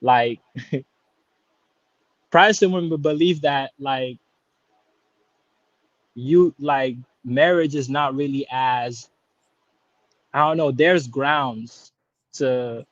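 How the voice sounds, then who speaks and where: A man's voice: medium pitch, drawn-out words, long pauses, no room sound, lo-fi sound. Laid-back Haitian-born man in his thirties. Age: 30s. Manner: hesitant, many restarts and fillers, laid-back slang. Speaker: caller. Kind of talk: casual live-stream call-in chat.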